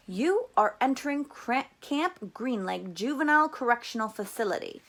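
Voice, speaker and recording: A woman reading a children's novel aloud as a teacher, with expressive storytelling delivery. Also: mid-range voice, gravelly.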